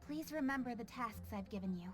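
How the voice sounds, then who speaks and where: An actress playing a disciplined young official in a video game, businesslike, formal and decisive. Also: female voice, a little high, animated, a little fast, faint music behind, natural voice. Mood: brisk, courteous. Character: brisk, disciplined young female official, courteous. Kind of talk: English video game voice acting.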